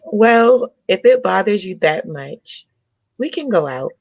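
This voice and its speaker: Lady doing a frank good-natured girlfriend in a comedy read, bouncy pitch, kinda lo-fi sound. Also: animated, slow, no echo. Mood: accommodating. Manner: direct, conversational. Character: forthright, good-natured young woman courting a shy man.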